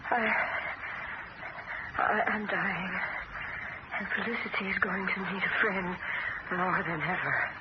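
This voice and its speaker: A frail old mother near death, her voice thin and faltering, as an actress plays her. The sound is muffled like an old radio broadcast, with a loud hiss running under it. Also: medium pitch, takes it slowly, long pauses, close to an everyday voice. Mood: weak, grave. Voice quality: weak, faltering. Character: frail dying older mother, shrunken and weak. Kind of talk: 1970s radio drama acting.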